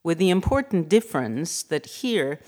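Low-voiced woman reading a lecture. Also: big pitch swings, a little mellow. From Hungary. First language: Hungarian. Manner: measured, formal delivery. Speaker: writer and academic speaker.

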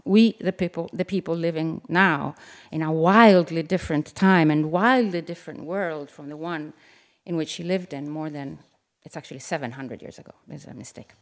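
An Italian woman, a novelist, reading her essay aloud at a live event in a measured, reflective way, with the odd aside. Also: fairly low pitch. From Italy. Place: event venue with an audience.

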